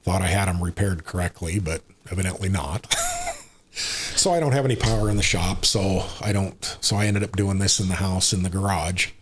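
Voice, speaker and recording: A man in his sixties with an American accent, chatting casually as a podcast guest in a rambling, unhurried way. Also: deep voice, slight background noise. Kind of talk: casual conversational interview.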